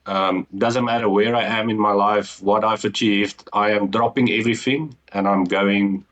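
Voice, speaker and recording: Low-voiced Afrikaans-accented man. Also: steady tone, normal speed, a bit bright, rough recording. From South Africa. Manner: earnest storytelling, frequent ums and restarts. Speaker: guest, business co-founder. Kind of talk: casual interview talk.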